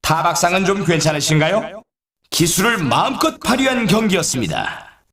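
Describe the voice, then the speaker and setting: An excitable video game snowboard announcer, high-pitched and swinging widely in intonation. He teases with formal politeness and playful sarcasm. The sound is echoey and set back from the mic. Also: moderate pace, far from the mic, a crowd in the background. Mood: teasing, critical. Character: excitable, teasing male snowboard race announcer. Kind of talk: scripted game sports commentary.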